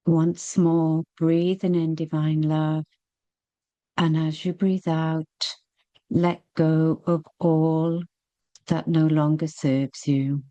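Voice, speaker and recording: A woman leading a guided meditation, speaking gently and soothingly with long, unhurried pauses. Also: deep voice, flat delivery, dry recording, dull audio.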